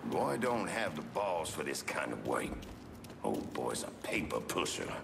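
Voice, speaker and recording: An actor playing a cynical, grumbling American mob henchman with a gravelly voice and colloquial speech. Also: a bass voice, very animated, unhurried, background noise, heavily acted. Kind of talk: video game henchman banter. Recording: game scene with music behind.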